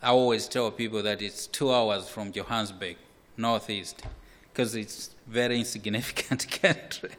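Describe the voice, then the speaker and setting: A man from Malawi, preaching a sermon in a slow, measured way with repeated words. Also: fairly low pitch, fairly even intonation. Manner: slow, measured, with repetitions and occasional soft laughs. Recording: hall reverb.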